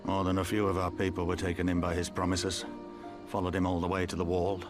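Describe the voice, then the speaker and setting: An actor playing a blunt older resistance commander in a video game, weary and proud, with measured, folksy speech. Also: male voice, deep voice, monotone, music in the background, lightly acted. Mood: grave, bitter. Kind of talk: video game cutscene acting.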